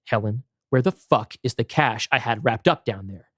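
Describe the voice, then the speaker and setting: A man's voice: medium pitch, normal speed, no room sound, clean studio sound, natural voice. A man reading the part of a self-assured, defensive prepper father in his early forties in a script read, blunt and emphatic. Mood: angry, defensive. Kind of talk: amateur script table read.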